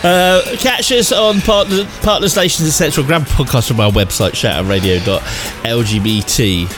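A British man hosting a radio show in casual, chatty conversation, quick to laugh. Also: medium pitch. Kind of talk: casual chat. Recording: music playing behind the voice. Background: music.

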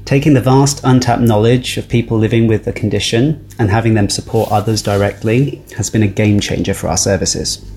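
A man's voice: lowish voice, darkish tone, a little hiss or hum. A man delivering scripted podcast narration as a charity project leader.

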